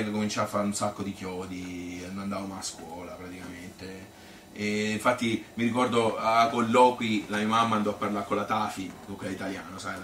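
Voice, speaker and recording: A man's voice: on the low side, fairly bright tone, natural voice. An actor playing a sociable, wry Tuscan man telling rambling, colloquial anecdotes about his own life in a theatrical monologue. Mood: resigned, wry. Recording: roomy, distant mic with faint noise.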